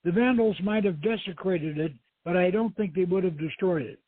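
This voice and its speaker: Storybook lady archaeologist, read by a man: scholarly, assured, high and husky, narrow phone sound. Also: normal speed. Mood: earnest. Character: learned, earnest lady archaeologist on a quest. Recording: thin phone-like radio line, roomy echo.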